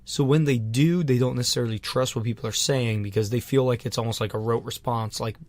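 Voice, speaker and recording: A man's voice: mid-range voice, fairly even intonation, fast talker, darkish tone. A man co-hosting a podcast in casual chat, laid-back, swearing casually and chiming in often. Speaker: podcast co-host.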